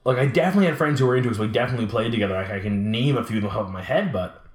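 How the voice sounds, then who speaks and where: A man in his late twenties chatting casually and talkatively, telling animated stories in a roomy, echoing space. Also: mid-range voice, racing. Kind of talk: casual chat about collectibles. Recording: roomy, echoing room sound.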